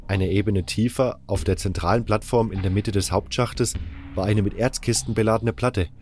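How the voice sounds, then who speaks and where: Guy narrating an audiobook in first person, lowish voice, faint music behind. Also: steady tone, moderate pace, darkish tone.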